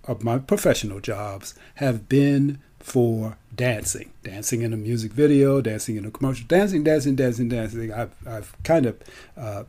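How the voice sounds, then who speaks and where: An older man, an actor by trade, telling his own story in a deliberate way, repeating words for emphasis. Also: medium pitch, mellow. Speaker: actor and performer. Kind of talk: personal storytelling monologue.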